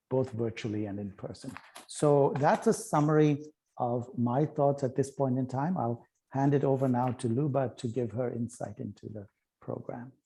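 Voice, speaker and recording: A man presenting at a volunteer orientation, calmly listing points in a measured way. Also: mid-range voice.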